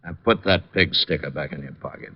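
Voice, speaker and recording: A man's voice: rumbling low, brisk. An actor playing a cold, calculating male racketeer boss, firm and authoritative, in a 1940s radio crime drama. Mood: cold, commanding. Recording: muffled old radio broadcast.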